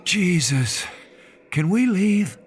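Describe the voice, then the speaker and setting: A man's voice: high voice, big pitch swings, very slow, a little hiss or hum, pitched above the performer's own voice, exaggerated voice. A man voicing an anxious, blunt and slightly fussy male companion in audiobook dialogue.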